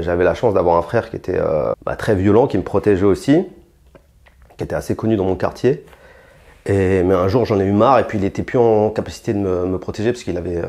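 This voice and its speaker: A young French man answering interview questions bluntly and provocatively, with many restarts and fillers. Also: mellow. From France. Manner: rises in pitch and force when heated. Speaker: interviewed rapper.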